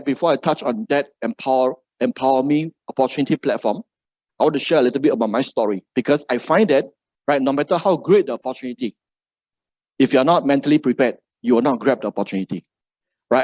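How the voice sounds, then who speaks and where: A man's voice: mid-range voice, darkish tone, no echo, lo-fi sound. Middle-aged Malaysian man, "right?" after every phrase. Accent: Malaysian English. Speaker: motivational speaker. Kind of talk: seminar talk to a live audience.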